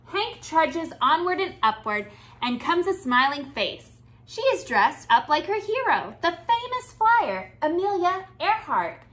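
A woman in her thirties reading a picture book aloud to young children with expressive, deliberate phrasing. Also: very high-pitched. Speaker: children's storytime reader. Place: small indoor room with bookshelves.

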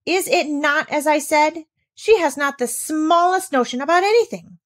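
A woman's voice: high voice, moderate pace, voice pushed up, close to an everyday voice. A woman reading a storybook as a stern, indignant housekeeper who scolds in formal, severe phrases. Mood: indignant scolding.

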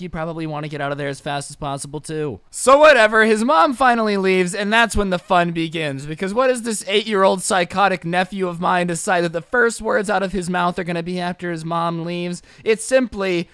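Young male storyteller with a cold, high smooth voice, dry close mic, jokey sarcastic delivery. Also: brisk, few pauses, fairly bright tone, no echo. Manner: sarcastic, jokey, rambling asides. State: sick with a cold. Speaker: storytime narrator. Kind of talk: comedic storytime narration over gameplay.

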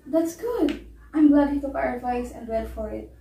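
A stressed, dutiful eldest sister, acted by a young woman in an amateur family melodrama. Her fairly high voice is soft-edged and earnest, picked up from far across the room. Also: moderate pace. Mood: reassuring. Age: young adult. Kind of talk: amateur one-act melodrama. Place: small living room, indoors. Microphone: far camera. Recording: echoey room, distant mic, background music.

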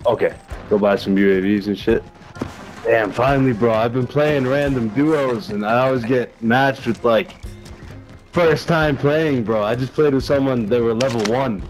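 Seorang pria dari Amerika Serikat beraksen Amerika, rekan satu tim dalam game, berbicara santai dengan bahasa gaul ala "bro". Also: male voice, mid-range voice, moderate pace, soft background music, rough recording. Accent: American English. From United States. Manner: laid-back slangy bro talk. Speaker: random teammate. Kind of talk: casual gaming chat.

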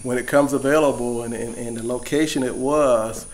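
Measured man explaining. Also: medium pitch, steady tone. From Louisiana. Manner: measured, explanatory, frequent restarts. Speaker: farmer. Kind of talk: interview answers.

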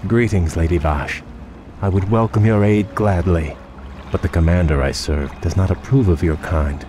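An actor playing a proud, embittered young elf prince in a video game, speaking formally and broodingly. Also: male voice, low voice, normal speed, background noise, natural voice. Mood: grave. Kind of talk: dramatic video game cutscene acting.

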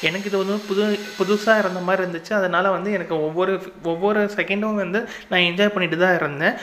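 A man's voice: high-pitched, background noise. A man with a Tamil accent narrating a casual travel vlog, chatty and full of advice, leaning on lots of filler words. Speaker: travel vlogger.

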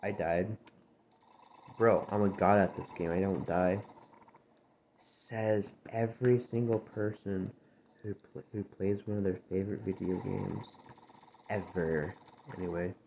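Gravelly-voiced man, just woken up. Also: deep voice, steady tone, unhurried, pauses often, darkish tone. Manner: rambling, self-correcting, drifting between topics. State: gravelly, dry voice from just waking up. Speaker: gaming channel host. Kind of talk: casual gameplay commentary. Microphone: phone screen-recorder audio. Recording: tinny, phone-like, faint noise.

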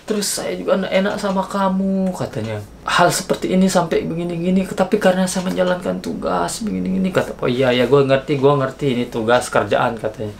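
A man in his thirties, seated in a small bedroom with a gaming setup, telling a story casually and acting out the dialogue with lively shifts in pitch, recorded on a camera mic. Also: a little fast, vocal fry, hardly any pauses, slight background noise. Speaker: vlogger. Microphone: camera mic, seated facing camera.

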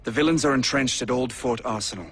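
An actor playing a courteous, formal older army colonel in a video game. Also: male voice, mid-range voice, a bit bright, close to an everyday voice. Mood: earnest. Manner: formal, measured. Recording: game audio with music behind.

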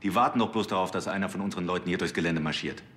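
An actor playing a haughty, defensive energy company representative in a TV crime comedy, formal and condescending. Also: male voice, low voice, very fast, fairly bright tone.

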